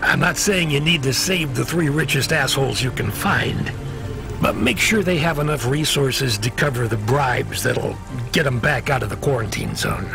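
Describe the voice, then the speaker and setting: Gravelly, weary male dispatcher, played by an actor. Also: medium pitch, moderate pace, music in the background, natural voice. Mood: evasive, businesslike. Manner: hesitant, hedging, folksy. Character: weary, avuncular male dispatcher, awkwardly apologetic. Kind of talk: video game voice acting.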